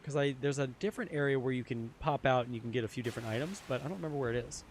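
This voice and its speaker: A young man streaming a game, chatting casually with his viewers, his voice a little hoarse. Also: mid-range voice, rapid-fire, a little hiss or hum. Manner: chatty, answers chat, repeats phrases. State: slightly hoarse. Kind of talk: casual live-stream chat while gaming.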